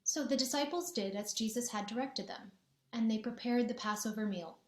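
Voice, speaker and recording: A woman narrating a gospel passage in a church dramatic reading, steady and clear. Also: fairly high pitch, moderate pace. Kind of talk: scripture narration. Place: church sanctuary. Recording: echoey church room.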